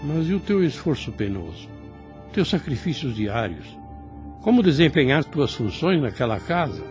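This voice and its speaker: A man's voice: mid-range voice, big pitch swings, moderate pace, a little mellow, natural voice. A man playing a kindly, fatherly older priest in a radio soap opera, with formal and solemn phrasing. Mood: solemn, approving. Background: music under the voice.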